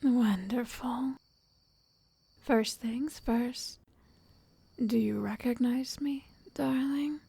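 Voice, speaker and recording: A woman plays a lovestruck, controlling witch in an ASMR roleplay. Her voice sits fairly high yet soft and mellow, with a creaky, whispery edge. She coaxes possessively, close and dry. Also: no room sound, full character voice. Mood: patient, controlling. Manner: slow, coaxing, possessive endearments.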